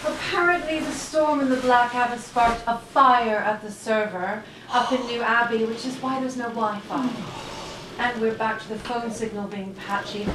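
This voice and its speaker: A woman's voice: a little high, fairly even intonation, normal speed, natural voice. A woman playing a weary, practical, kindly character in an audio play. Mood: practical, concerned. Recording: echoey room, distant mic, noisy background.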